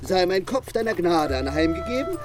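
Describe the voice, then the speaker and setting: A man's voice: high voice, very animated, moderate pace. A man playing a haughty, boastful knight in a radio drama, issuing a challenge in formal, ceremonial, commanding speech. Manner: ceremonial, declamatory. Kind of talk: theatrical radio drama acting. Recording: music under the voice.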